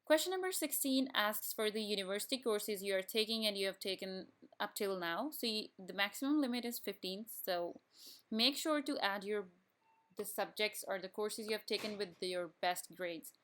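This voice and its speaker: A young woman from Pakistan whose native language is Urdu, calmly guiding viewers step by step through an online application form as a student. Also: mid-range voice, normal speed. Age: young adult. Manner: plain step-by-step instructions with frequent restarts. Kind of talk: screen-recorded tutorial walkthrough.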